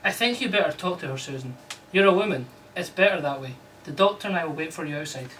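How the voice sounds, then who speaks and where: A man's voice: on the high side, animated, fast talker, sharp tone, far from the mic, a little hiss or hum, poor audio. A man playing a worried, attentive husband in a radio drama, coaxing and hesitant. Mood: grave.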